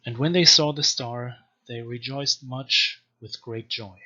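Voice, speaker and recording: A man giving an informal, scholarly language lecture in a deliberate, measured manner, as a teacher explaining and reading aloud. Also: mid-range voice, sharp tone. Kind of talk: informal explanatory lecture with slow read-aloud text samples. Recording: dull, lo-fi microphone sound.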